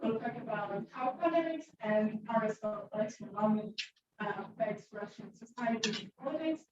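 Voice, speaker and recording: A woman's voice: mid-range voice, moderate pace. A woman host welcoming the audience and introducing the speaker, with phrasing. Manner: non-native phrasing, reads welcome. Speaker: event host. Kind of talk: event introduction. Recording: distant, echoey, dull, noisy.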